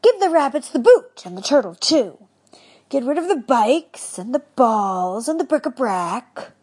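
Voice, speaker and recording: A woman's voice: sits high, big pitch swings, unhurried, a little mellow, slightly rough, voice pushed up, heavily acted. A woman voicing a kindly, amused old male rabbi in a storybook tale, chuckling as he gives instructions.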